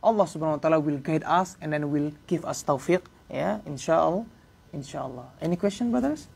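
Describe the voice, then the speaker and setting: A man in his thirties with an Indonesian accent, giving an informal religious lesson in halting English, filling pauses with "yeah" and "uh". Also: fairly high pitch, vocal fry, darkish tone, slightly rough. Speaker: religious teacher. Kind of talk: informal lecture to a small class. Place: prayer room, indoors.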